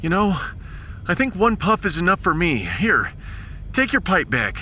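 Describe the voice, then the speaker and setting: An actor playing an affable, battle-tested starship captain with a wry, informal, expressive manner in a science fiction audio drama. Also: male voice, sits high, measured pace, a little hiss or hum, full character voice.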